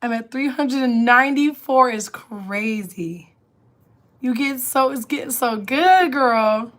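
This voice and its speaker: Woman, livestream host, raspy alto, a little high, loose casual chat with viewers. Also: slow, a bit bright. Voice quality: lower alto voice. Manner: chatty, reads and answers comments aloud. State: raspy voice from illness.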